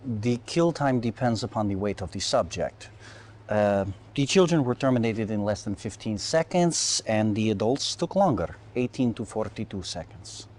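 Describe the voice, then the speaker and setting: An actor playing a grim, callous Soviet military commander, formal and clipped, in a video game cutscene. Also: male voice, lowish voice, lively intonation, normal speed, darkish tone, natural voice. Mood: deferential, clinical.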